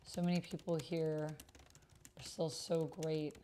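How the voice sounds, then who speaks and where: Low-pitched woman reading aloud as she types. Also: level tone, a little slow, warm tone. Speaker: solo roleplay player and video host. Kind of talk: reading aloud chat messages while typing. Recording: noisy, keyboard typing and clicks. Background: computer keyboard typing, clicks.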